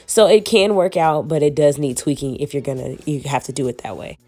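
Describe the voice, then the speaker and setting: Woman, young adult, hoarse from a cold, low voice, chatty, slangy wig tutorial. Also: animated, brisk, darkish tone. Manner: casual and chatty, slangy, addresses viewers as y'all. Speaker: beauty vlogger. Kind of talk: casual wig tutorial chat.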